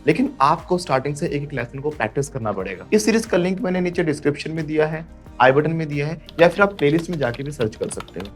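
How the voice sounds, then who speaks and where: Lively male teacher drilling a class. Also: on the high side, quick pace, soft background music. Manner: lively, repeats drills, asks class to echo. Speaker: spoken English teacher. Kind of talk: classroom English lesson.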